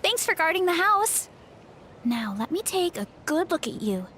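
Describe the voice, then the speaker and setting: A woman's voice: very high voice, very animated, normal speed, a bit bright, slight background noise, full character voice. A woman voicing a sweet, modest young female athlete in a video game, earnest and polite, with a bashful manner. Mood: affectionate. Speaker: voice actor in a game. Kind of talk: scripted game dialogue.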